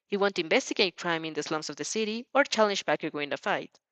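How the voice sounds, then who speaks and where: A woman reading a story aloud as an expressive amateur narrator, sometimes stumbling and rereading phrases. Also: lowish voice, fast, no room sound. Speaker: fan fiction narrator.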